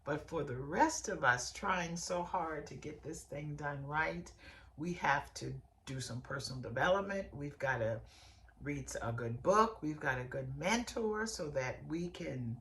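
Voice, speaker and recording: Raspy-voiced woman with a summer cold. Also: lively intonation, a little slow, slight background noise. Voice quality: raspy, bassy voice. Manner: warm, chatty, direct address to listeners. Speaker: podcast host, hairstylist and coach. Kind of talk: motivational podcast lesson.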